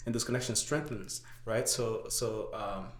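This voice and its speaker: A man's voice: fairly low pitch, fairly even intonation, moderate pace. Haitian-accented young man, roomy sound. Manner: explains at length, many "uh" and "right?" fillers. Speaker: podcast guest. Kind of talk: casual chat. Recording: echoey room.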